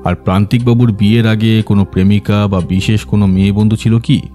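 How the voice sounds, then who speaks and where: An actor playing a composed, courteous male detective, asking measured, probing questions in an audio drama. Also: on the low side, little pitch movement, warm tone, faint music behind, close to an everyday voice. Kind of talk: scripted audio drama acting.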